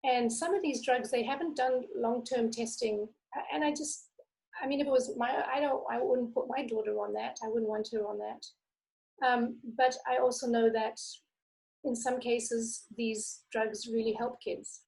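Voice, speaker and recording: A soft-voiced woman author speaking candidly over a video call. Her voice sits fairly high but sounds mellow and dark in tone, with a gravelly fry running through it. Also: steady tone, measured pace, poor audio. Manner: candid, conversational. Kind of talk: interview answers and memoir reading.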